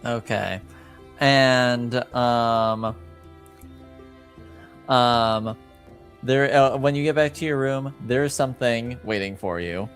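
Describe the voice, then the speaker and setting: A man improvising narration as a game master, hesitant and often pausing to find his words. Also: medium pitch, soft background music.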